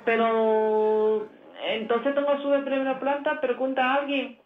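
A woman taking a restaurant order over the phone in simple, halting phrases. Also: high-pitched, fairly even intonation, a little hiss or hum. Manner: simple, slightly broken phrasing. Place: heard over a phone call. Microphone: telephone. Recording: dull, echoey telephone line.

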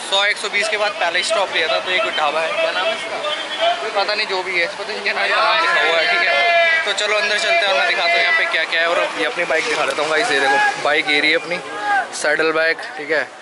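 A young man chatting casually to viewers as a travel vlogger, often checking in with them, recorded outdoors on a handheld camera. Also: sits high. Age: young adult. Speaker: travel motovlogger. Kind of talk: casual vlog chat. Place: outdoors at roadsides, underpass and street market. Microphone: camera held at arm's length. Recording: noisy outdoor street with traffic.

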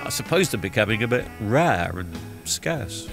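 A man's voice: fairly low pitch, sing-song, moderate pace, vocal fry. Congested man with music behind. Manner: chatty, rambling with many ums and uhs. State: blocked-up nose from a cold. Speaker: TV repair vlogger. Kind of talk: casual voiceover commentary. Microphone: studio microphone recorded in post-production. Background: background music.

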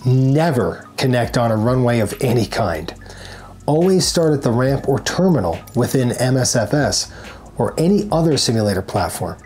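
A man's voice: mid-range voice, lively intonation, normal speed, a little mellow, breathy edge. A man in his forties giving scripted, instructional narration to camera as a tutorial presenter. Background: background music.

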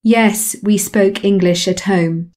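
A woman calmly repeating English model sentences in a slow, measured way for a lesson. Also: mid-range voice. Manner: calm, measured repetition of phrases. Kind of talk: slow scripted language drill.

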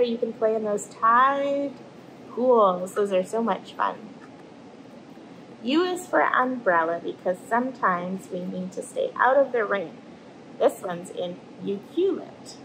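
A woman, reading a picture book aloud to children in an animated storytelling manner. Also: a little high, a little hiss or hum, low-quality recording. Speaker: storybook reader and host. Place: indoors in front of an aquarium tank.

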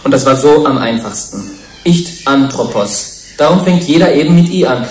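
An actor playing a self-important, easily rattled TV interviewer in a German radio play, halting and insistent. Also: male voice, medium pitch, expressive, darkish tone, natural voice. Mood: defensive. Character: flustered, self-important male TV interviewer, defensive and impatient. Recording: roomy sound with faint noise.

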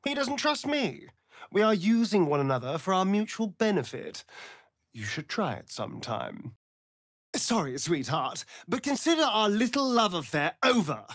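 A man's voice: high voice, very animated, normal speed, fairly bright tone, dry recording, studio quality. A man with a British accent voicing a vain, sardonic, seductive vampire rogue in a fantasy video game, with an arch, flamboyant, mocking manner. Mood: earnest, guarded, then scornful.

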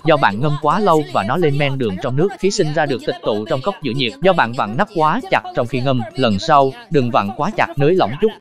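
A man voicing an announcer and talking trees in an animated explainer, with emphatic, declarative delivery. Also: medium pitch, a little fast, hardly any pauses, close to an everyday voice. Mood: matter-of-fact.

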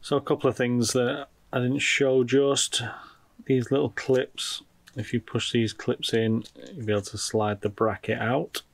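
A man's voice: on the low side, dry recording. A man giving casual unboxing commentary as a product reviewer, informally thinking aloud as he goes.

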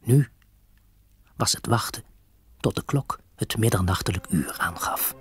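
A man with a husky voice narrating a children's audio story from a script. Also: lowish voice, a little slow.

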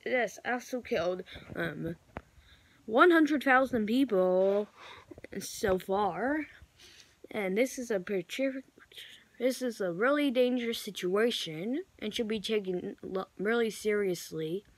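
A woman improvising mock emergency broadcast announcements in a halting way, correcting herself as she goes. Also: medium pitch, expressive. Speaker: amateur vlogger play-acting emergency alerts.

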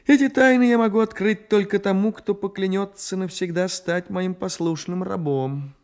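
A man's voice: sits high, moderate pace, pitched above the performer's own voice. A man voicing a boastful, bossy young boy who declaims pompously and solemnly in a radio story reading. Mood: haughty, mysterious.

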